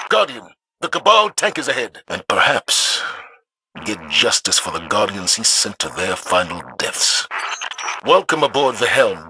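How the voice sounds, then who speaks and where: A man's voice: medium pitch, bright, forceful tone, slight background noise, rough recording. A man playing a stern, seasoned military commander giving formal, authoritative briefings in a video game. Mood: urgent, then formal, assured.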